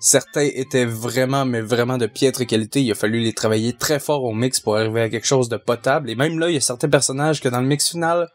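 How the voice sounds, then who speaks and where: A young man with a Québécois accent explains his sound work in a steady, hesitant way, pausing on fillers. His voice sits at an ordinary pitch for a man. Faint music plays behind him. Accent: Québécois French. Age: young adult. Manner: measured, hesitant with many fillers. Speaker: sound mixer. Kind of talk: casual Q&A answers.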